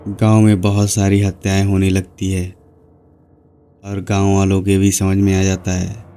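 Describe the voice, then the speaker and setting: A man narrating a horror cartoon story in an even, unhurried manner. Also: low-pitched, smooth.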